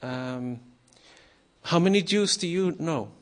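A man from Iceland, a former missionary, giving a nervous, halting sermon to a congregation with many pauses and fillers. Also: on the high side, animated. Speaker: guest preacher.

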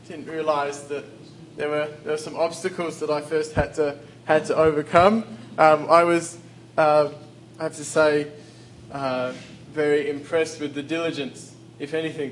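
A man's voice: on the high side, fairly even intonation, unhurried, slight background noise, poor audio. Hesitant male preacher in a church. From Australia. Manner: hesitant, full of fillers and restarts. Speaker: visiting preacher. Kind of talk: sermon. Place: church sanctuary. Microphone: handheld or stand mic.

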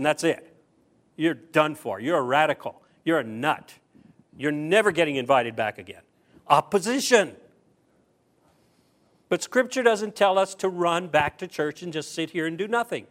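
A man's voice: sits high, a bit bright. A man preaching a conversational sermon, putting rhetorical questions to his listeners and repeating phrases for emphasis. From the South (southern US). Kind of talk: sermon with scripture reading.

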